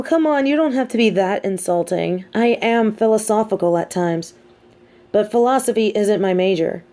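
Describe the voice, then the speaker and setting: A woman playing a sardonic, teasing supervillain with a hidden soft side, talking straight to the listener in an audio roleplay with theatrical, mocking emphasis. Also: mid-range voice, natural voice. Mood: philosophical, wry. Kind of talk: scripted audio roleplay monologue spoken to the listener.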